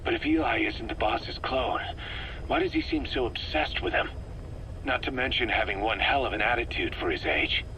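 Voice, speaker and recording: A male actor voicing a stern, pragmatic military subcommander in a video game, speaking in a brisk, matter-of-fact, clipped way on a taped briefing. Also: mid-range voice, pushed and bright, close to an everyday voice, low-quality recording. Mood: suspicious. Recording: cassette recording with background noise.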